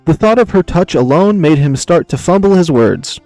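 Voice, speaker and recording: A man hosting a visual novel playthrough, switching between casual chat and expressive narration of the story. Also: fairly high pitch, moderate pace, no room sound, soft background music, rough recording. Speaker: channel host playing and reading a visual novel.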